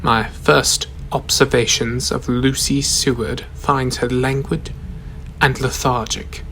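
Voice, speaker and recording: A solemn prosecutor acted by a man addresses a courtroom in a radio play. He is formal and measured, with a very even tone and faint noise behind him. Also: medium pitch, rough recording. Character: solemn male court prosecutor reading out evidence. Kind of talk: radio drama acting, formal reading aloud.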